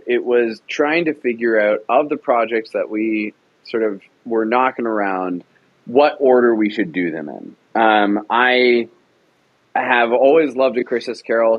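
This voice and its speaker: A man being interviewed, explaining casually in a halting way, repeating phrases as he goes. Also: mid-range voice, no room sound, lo-fi sound. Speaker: radio drama producer, interview guest.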